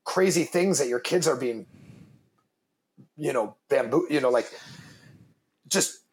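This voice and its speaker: A man in his thirties with a very deep voice, talking casually and emphatically in an interview, swearing freely and repeating words as he starts his thoughts. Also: lively intonation, a little slow, rough recording. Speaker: guest, business founder and father.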